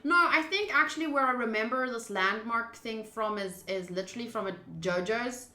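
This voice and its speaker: A woman's voice: a little high, moderate pace, sharp tone, echoey room, faint music behind. A woman streamer doing a game playthrough, reading text aloud and adding casual commentary.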